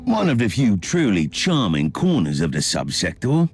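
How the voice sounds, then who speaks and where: Smooth scheming game inquisitor, kinda low, very animated and wry, bit of music behind. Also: male voice, normal speed. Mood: wry, persuasive. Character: sly, smooth-tongued male inquisitor, amused and scheming. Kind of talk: dramatic video game cutscene acting.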